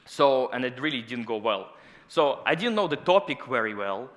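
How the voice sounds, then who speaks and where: A man in his thirties, a native Russian speaker, giving a lively conference talk on stage with personal stories, through a headset mic. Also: mid-range voice, moderate pace. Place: large auditorium stage. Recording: long hall reverb.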